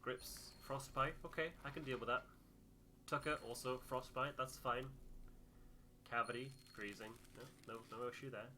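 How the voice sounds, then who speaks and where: A man gives casual playthrough commentary as a gaming host. His voice is strikingly bright and crisp in edge, and he mutters reactions as he plays. There is faint noise in the background. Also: medium pitch, fairly even intonation, normal speed.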